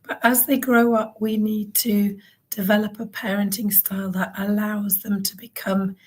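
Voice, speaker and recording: Hoarse woman speaker, earnest conference talk, middle pitch, ums along the way. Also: flat delivery, moderate pace. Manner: warm, earnest, with frequent ums. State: croaky, hoarse voice. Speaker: keynote speaker on child wellbeing. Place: event stage.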